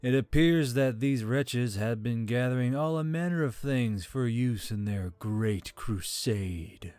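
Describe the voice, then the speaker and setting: A man's voice: mid-range voice, lively intonation, slow, darkish tone, smooth, no room sound. Man voicing an ancient, courtly talking necklace spirit. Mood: wry, weary. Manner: formal, archaic, wry. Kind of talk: audio fiction character acting. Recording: background music under the voice.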